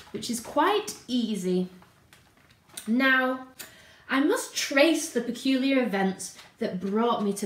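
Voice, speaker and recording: A woman voicing an eccentric, vain, old lady in a theatrical, deliberate dramatic story reading. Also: a little high, far from the mic. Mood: wistful, dramatic. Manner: theatrical, deliberate, drawn-out delivery. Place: indoor room at home. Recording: roomy home sound.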